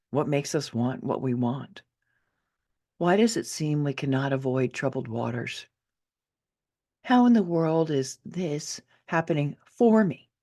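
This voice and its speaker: A woman's voice: sits low, a little mellow. A woman in her fifties reading her own memoir as an audiobook, with theatrical, emotive and emphatic delivery. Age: 50s. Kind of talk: dramatic first-person audiobook narration.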